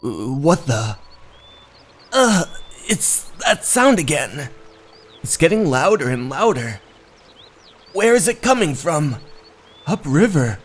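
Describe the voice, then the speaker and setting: Jumpy young male hiker, played by a man narrating, stammering, exclamatory, very animated intonation, horror manga dub. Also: a little high, takes it slowly, pauses often, pitched above the performer's own voice, exaggerated voice. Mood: panicked. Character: alarmed young male hiker, bewildered and excitable. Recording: music under the voice.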